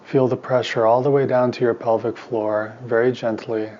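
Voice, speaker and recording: Man leading a guided meditation, even monotone. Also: medium pitch, normal speed, highs cut off. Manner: calm, soothing instruction in short, spaced phrases. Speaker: meditation teacher and therapist.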